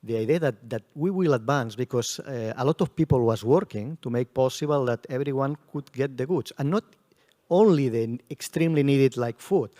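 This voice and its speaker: A man speaking as a security panelist, halting and searching for words, with non-native phrasing. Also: medium pitch, warm tone. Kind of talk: conference panel answer. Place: conference panel stage.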